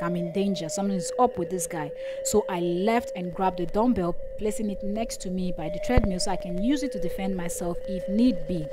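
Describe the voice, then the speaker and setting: A woman's voice: medium pitch, background noise. A young woman recounting a story in a voice-over, earnest and checking in with the listener as she talks. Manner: earnest, repeats "okay?" to check listener follows. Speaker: fitness vlogger.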